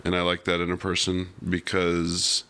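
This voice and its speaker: Low, bright-voiced man chatting casually. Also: completely flat delivery, moderate pace. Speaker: podcast guest, actor and director. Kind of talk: casual joking chat.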